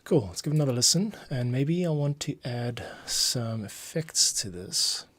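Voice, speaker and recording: A man's voice: mid-range voice, expressive, unhurried, a bit bright. A man giving casual livestream commentary as a composer at work, muttering and thinking aloud. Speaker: composer demonstrating his workflow. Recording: clearly noisy room, keyboard clicks. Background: typing, clicks, background noise.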